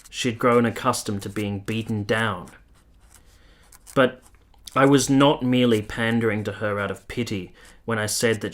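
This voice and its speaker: Man playing a low-voiced, earnest young officer. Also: fairly bright tone. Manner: measured, sincere, literary delivery. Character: earnest young Italian officer, tender, reflective narrator. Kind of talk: radio play acting and literary narration.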